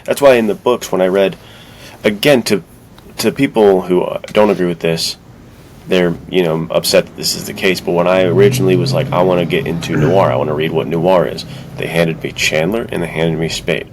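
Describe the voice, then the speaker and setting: A man's voice: low voice, brisk, background noise. A man co-hosting a podcast, giving his opinions in casual, slangy conversation. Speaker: podcast co-host. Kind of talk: casual film and book discussion.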